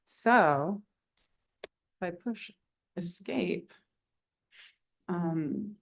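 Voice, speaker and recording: A woman's voice: lowish voice, expressive, drawn-out words, creaky. A woman artist leading a casual public conversation at an event, hesitant with many ums and plainly enthusiastic. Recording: voice heard from far off the mic.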